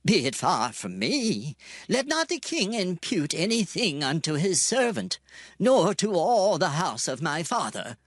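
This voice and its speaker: A dignified biblical priest in an audio drama. He speaks very slowly and solemnly in a fairly high voice, with earnest, deliberate weight. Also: huge pitch swings, fairly bright tone, no room sound, exaggerated voice. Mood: earnest protest. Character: earnest, dignified priest pleading innocence before a king. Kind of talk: dramatized scripture acting.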